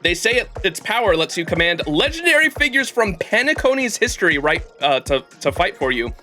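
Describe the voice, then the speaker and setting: A man's voice: high voice, very animated, fairly quick, pushed and bright. A man giving casual live-stream commentary, rambling and enthusiastic as he explains, swearing freely. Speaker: live streamer playing a game. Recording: game music behind the voice. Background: game music.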